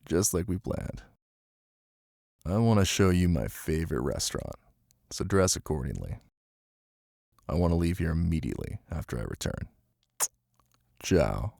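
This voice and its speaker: A man acting out a menacing, possessive mafia husband in an intimate audio roleplay. His voice is very low, with heavy gravelly fry, and it moves expressively between coaxing and command, with deliberate pauses. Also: very animated, darkish tone, no room sound, studio quality, full character voice. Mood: controlling, brisk. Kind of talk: intimate scripted ASMR roleplay.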